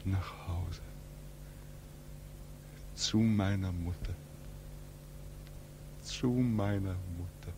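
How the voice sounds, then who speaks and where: An actor playing a homesick, brooding young man back from war in a 1940s radio drama, with halting, repetitive, anguished speech. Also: sits low, dark tone, a little hiss or hum, heavily acted. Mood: weary longing. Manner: halting, repetitive, swinging into agitated questioning. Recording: 1940s radio recording, music behind.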